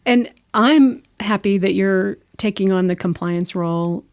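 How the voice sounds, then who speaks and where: A middle-aged woman hosting a podcast, chatty and laughing often, her voice slightly hoarse from allergies. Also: mid-range voice, a little slow, no room sound, studio quality. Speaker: podcast host. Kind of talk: casual interview chat.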